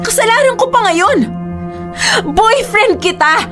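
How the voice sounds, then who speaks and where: A woman's voice: very high-pitched, expressive, takes it slowly, over music, exaggerated voice. A woman with a Filipino accent acting an emotional, reproachful young girlfriend in a radio drama. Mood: angry, hurt. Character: hurt, indignant young girlfriend pleading with her boyfriend.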